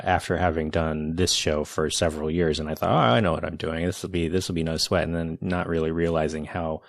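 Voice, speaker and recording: A man's voice: low voice, creaky, no room sound, clean studio sound. A man hosting a podcast interview, enthusiastic and searching for words with frequent restarts. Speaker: podcast host. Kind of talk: casual interview.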